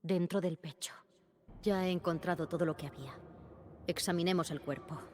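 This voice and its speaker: Actress as a low-voiced, composed FBI agent. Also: female voice, fairly even intonation, warm tone, close to an everyday voice. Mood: focused, analytical. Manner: measured, businesslike, analytical. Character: composed, sharp female FBI special agent on a case. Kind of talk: dubbed video game acting. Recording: music behind the voice.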